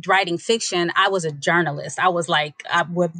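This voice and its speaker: A woman's voice: fairly low pitch, steady tone, moderate pace, sharp tone, no echo. A woman guest storyteller in a podcast interview, talking casually and unhurriedly with many fillers.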